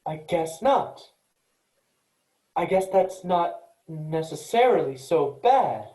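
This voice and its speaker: Excitable yet careful starship programmer guy read by an actor, lively swooping pitch, mellow tone, roomy sound. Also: slow. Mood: uneasy. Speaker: actor in a student play reading. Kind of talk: virtual staged reading of a sci-fi comedy. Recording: roomy echo.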